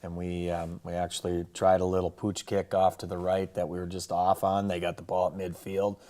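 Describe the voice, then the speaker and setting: American guy, football coach going over game highlights, low voice, pretty flat, lots of you knows. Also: fairly quick. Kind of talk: casual sports recap and highlight commentary.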